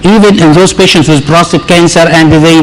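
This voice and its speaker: Male urologist on a panel; slightly high voice, halting, heavy fillers. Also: fairly even intonation, background noise. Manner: halting, many fillers, repeats words for stress. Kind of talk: conference panel discussion.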